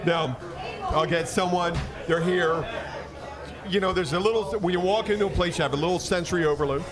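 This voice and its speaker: A man casually telling rambling, profane stories as a podcast host and bartender, acting out conversations in an animated way. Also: high voice, fairly quick, over music. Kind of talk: casual bar banter and storytelling. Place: in a busy bar. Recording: bar chatter in the background.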